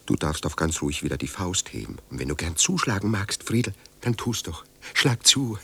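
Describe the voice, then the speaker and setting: Low-voiced, coaxing melancholy writer. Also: male voice, sing-song, moderate pace, vocal fry, fairly bright tone, breathy edge, exaggerated voice. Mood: desperate, pleading. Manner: coaxing, ironic, wheedling. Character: sardonic, pleading man, a hard-drinking melancholy writer. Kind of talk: German radio drama acting.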